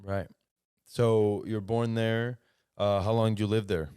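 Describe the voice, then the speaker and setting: A man with a lowish voice hosts a podcast, recorded close and dry at a studio mic. He rambles in a loose way and swears freely as he talks. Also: level tone, unhurried, dark tone, clean tone, no echo. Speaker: podcast host. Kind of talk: casual interview.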